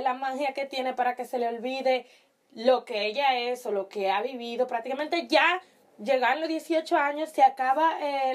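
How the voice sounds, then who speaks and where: A young woman from the Dominican Republic, raised in Italy, speaking Spanish with a mixed accent, chatting to camera in a small bedroom, often pausing to search for words. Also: high-pitched. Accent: Dominican Spanish with Italian influence. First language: Italian. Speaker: vlogger. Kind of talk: casual opinion chat to camera. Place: small bedroom with string lights.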